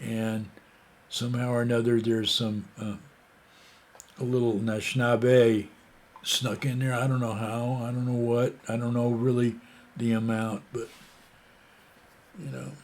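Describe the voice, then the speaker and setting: Slow, rambling American man. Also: mid-range voice, little pitch movement, a little mellow. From United States. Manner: rambling, hesitant, many fillers and restarts. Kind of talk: casual opinion monologue.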